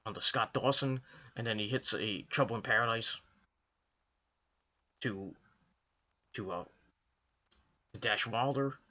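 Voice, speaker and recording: A hoarse man from the Northeastern US, coming down with a cold, rambling casually through a wrestling review with lots of restarts and fillers. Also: medium pitch, takes it slowly, long pauses, bright, forceful tone, muffled recording. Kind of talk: casual solo commentary to camera.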